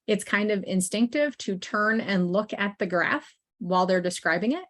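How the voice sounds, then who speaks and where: A woman in the middle of an online training session, speaking in a mid-range voice through a computer mic. She talks in a loose, conversational way, full of small fillers and quick asides to the people listening. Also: mid-range voice, expressive, fairly bright tone. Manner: friendly and informal, with frequent fillers and asides. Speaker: assistive technology consultant presenting a training. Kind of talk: conversational webinar presentation.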